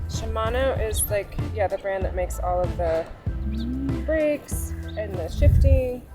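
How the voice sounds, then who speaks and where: A woman's voice: mid-range voice, lively intonation, a little slow. A woman talking casually as a founder and mountain bike instructor, explaining things in loose everyday phrases. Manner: casual, frequent "like" and "kinda". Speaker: non-profit founder, biking instructor. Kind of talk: interview talk and hands-on instruction. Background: music.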